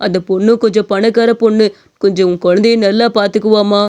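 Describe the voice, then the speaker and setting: Casting: man as righteous cartoon village chief, very high clear voice, lecturing. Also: fairly even intonation, fast, a little mellow, natural voice. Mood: stern, persuasive. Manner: lecturing, emphatic. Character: authoritative male village headman, righteous and moralising. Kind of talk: cartoon story dialogue.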